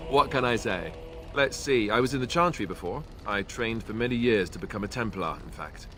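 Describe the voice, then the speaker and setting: A young ex-templar warrior in a fantasy role-playing game, with a fairly low voice and a dry, self-deprecating wit. Game music plays clearly behind his lines. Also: animated, normal speed, sharp tone. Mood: wry. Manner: wry, self-deprecating humor. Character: young male warrior, former templar trainee, wry and self-mocking. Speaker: companion character in a video game. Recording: game audio over background music. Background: game soundtrack music.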